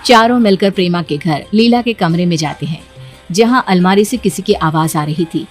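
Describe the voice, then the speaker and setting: A woman's voice: fairly low pitch, normal speed. A woman reading scripted story narration as the narrator of a moral tale, over music.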